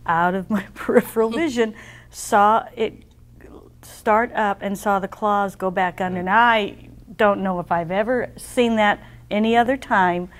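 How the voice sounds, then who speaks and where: Female interviewee, animated storyteller, mid-range voice. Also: mid-range voice, a little slow. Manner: animated, laughs while telling. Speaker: program participant. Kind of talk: testimonial interview.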